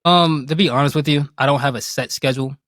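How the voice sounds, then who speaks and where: A man in a casual interview, talking candidly and reflectively in colloquial language. His voice sits at an ordinary male pitch. The sound is dry, with no room around it. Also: quick pace, a bit bright, no echo. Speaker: guest, game streamer.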